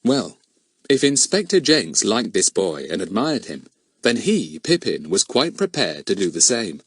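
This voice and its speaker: A man's voice: on the low side, sing-song, unhurried, fairly bright tone, breathy edge, no echo. A man narrating a children's story from a script as an audiobook reader.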